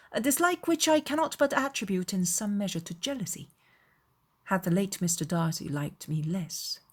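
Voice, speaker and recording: A woman voicing an aggrieved young gentleman in a dramatized audiobook, confiding and self-justifying, with measured, earnest, formal delivery. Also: fairly low pitch, slightly rough. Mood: bitter, restrained.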